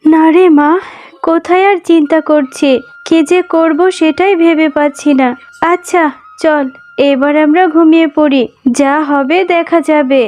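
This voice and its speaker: A woman voicing a kindly, humble mother fox in a cartoon, gentle and a little plaintive. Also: high-pitched, fairly even intonation, unhurried. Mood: worried. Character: kindly worried mother fox, humble sugarcane-juice seller. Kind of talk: cartoon voice acting.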